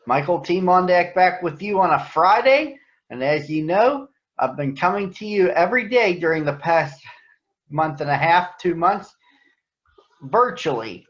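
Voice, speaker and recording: A man addressing children as a picture book reader, speaking in deliberate phrases, in a read-aloud storytelling style. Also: high voice, expressive, a bit bright, highs cut off.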